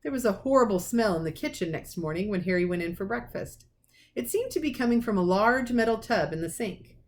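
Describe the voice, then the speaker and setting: A woman reading a children's storybook aloud as a teacher, with expressive narration. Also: mid-range voice, fairly quick. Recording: clearly noisy recording with clicks.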